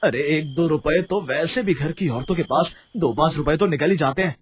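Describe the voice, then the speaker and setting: Man acting an excitable, husky village husband. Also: on the high side, moderate pace. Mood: urgent. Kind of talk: dramatized Hindi moral story acting. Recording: dull, muffled audio under music.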